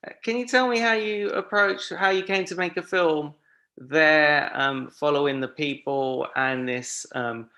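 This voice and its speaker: A man's voice: on the high side, measured pace, sharp tone. A man hosting a film festival panel over a video call, speaking in a friendly way with frequent fillers.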